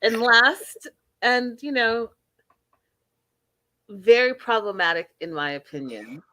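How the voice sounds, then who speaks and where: A woman's voice: a little high, expressive, slow, pushed and bright, no echo. A woman hosting a casual reality TV recap, chatty and emphatic, talking straight to her viewers. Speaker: host.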